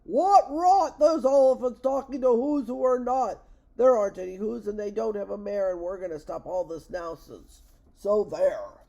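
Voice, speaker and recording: A narrator voicing a rowdy, scornful jungle monkey bully in a storybook, shouting. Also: medium pitch, normal speed, creaky, voice pushed up, lightly acted, low-quality recording.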